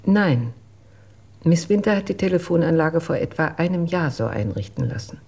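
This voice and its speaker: A reserved household servant in a radio play gives measured testimony. Her voice is mellow and husky, and she chooses her words with care. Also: low voice, expressive, exaggerated voice. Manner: measured, careful recounting. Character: reserved, dutiful female household employee giving testimony. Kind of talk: German radio drama acting.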